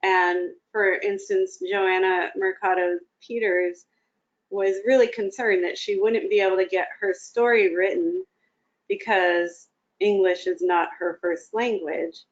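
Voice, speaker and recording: A woman's voice: medium pitch, steady tone, a little slow. A woman talking casually and reflectively as an interview guest, with an encouraging manner. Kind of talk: casual, reflective personal talk. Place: remote video call. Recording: dull lo-fi video-call audio.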